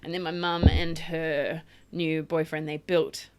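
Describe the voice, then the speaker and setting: A woman's voice: low voice, little pitch movement, measured pace, a little mellow, smooth. Young Australian woman guest, casual and giggly, filler-heavy chat. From Sydney, Australia. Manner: chatty, laughs often, many fillers like "like" and "um".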